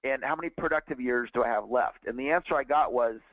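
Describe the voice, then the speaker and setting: A man's voice: medium pitch, quick pace, no echo. A man in his forties, a sales trainer, talks earnestly and conversationally, often restarting his sentences. His voice comes through a narrow, tinny phone line. The sound is dry, with no room around it. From California. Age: 40s. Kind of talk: motivational teaching call.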